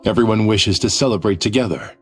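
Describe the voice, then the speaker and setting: A man's voice: fairly low pitch, a little fast, natural voice. A man playing a courteous, deferential advisor to a deity in a video game, speaking politely and formally. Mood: warm invitation. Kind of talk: scripted video game dialogue. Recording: music under the voice.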